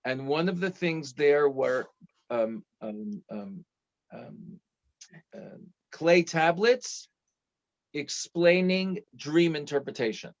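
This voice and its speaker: A man in his sixties joins over a video call as a guest expert and tour guide. His voice sits a little high, and he explains things quickly and with keen enthusiasm. The call audio is dull and muffled. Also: expressive. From United States.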